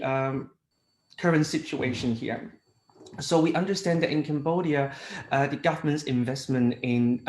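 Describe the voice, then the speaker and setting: A man giving a conference talk at an even, middle pitch. He pauses often and fills the gaps with "uh" and "um" as he restarts his phrases. The room around him adds a noticeable echo. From Hong Kong. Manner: hesitant, frequent fillers and restarts. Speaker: international technical advisor. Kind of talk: conference presentation.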